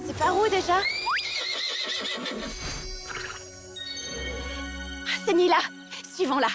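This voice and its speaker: A woman voicing a timid, kind young girl in a cartoon, hesitant and expressive. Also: extremely high pitch, brisk, music in the background. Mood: surprised. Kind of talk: French animated series voice acting.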